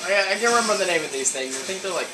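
A game player giving casual let's play commentary, thinking aloud and exclaiming in an animated way. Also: medium pitch, rapid-fire. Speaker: gaming video host. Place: indoor room, playing in front of TV. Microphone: camera mic filming a TV screen. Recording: roomy camera recording with game music. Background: video game music.

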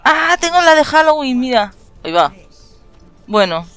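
A woman with an accent from Spain chatting excitedly while playing a shooter game with a friend, laughing and swearing often. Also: high-pitched, moderate pace, soft background music. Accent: Spanish from Spain. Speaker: gaming channel host. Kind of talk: casual gameplay chat with a friend.

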